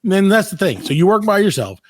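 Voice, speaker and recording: A man hosting a comedy podcast, chatting casually and ranting in mock exasperation, his voice hoarse from overuse. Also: high voice, very animated, quick pace. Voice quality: worn-out, overused voice. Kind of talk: casual comic chat.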